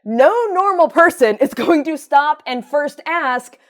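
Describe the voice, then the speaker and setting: A woman's voice: sits high, unhurried. A woman hosting a news commentary show in an informal, conversational way, with sardonic, wry comedic asides.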